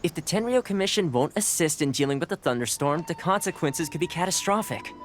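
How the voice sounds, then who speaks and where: Casting: male actor as easygoing young diplomatic go-between in a game, animated intonation, polite and reassuring, music underneath. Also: on the high side, a little fast, close to an everyday voice. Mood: concerned. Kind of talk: video game voice acting.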